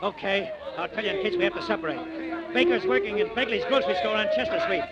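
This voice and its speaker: An actor playing a tough, suspicious convict with a clipped, barking delivery in a 1940s radio crime drama. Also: male voice, extremely high pitch, sing-song, quick pace, muffled recording, over a noisy crowd. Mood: guarded. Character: tough, suspicious male convict leading a jailbreak. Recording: vintage radio recording with loud commotion.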